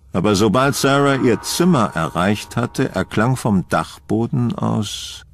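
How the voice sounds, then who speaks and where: Guy reading a scary audio drama, suspenseful, takes his time, slightly deep voice. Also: vocal fry, a little hiss or hum. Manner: suspenseful, deliberate storytelling. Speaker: narrator of a horror audio drama. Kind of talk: scripted dramatic horror narration.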